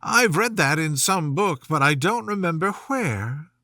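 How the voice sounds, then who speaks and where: A polite, chatty young storybook girl, played by a man, talks in a high, lilting voice. She sounds earnest and proper, with lively swings in pitch. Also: unhurried, dry recording, studio quality, heavily acted. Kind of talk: storybook character voice.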